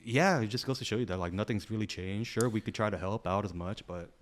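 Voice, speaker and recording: Man, lowish voice, laid-back, blunt, casual podcast chat. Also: quick pace. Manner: blunt, laid-back, frequent agreeing backchannels, occasional swearing. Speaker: podcast co-host.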